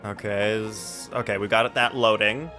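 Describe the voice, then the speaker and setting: Male streamer, bright voice, casual chat, thinking aloud, music behind. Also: on the low side, steady tone, moderate pace. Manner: thinks aloud while setting things up. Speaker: livestreamer, host. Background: background music.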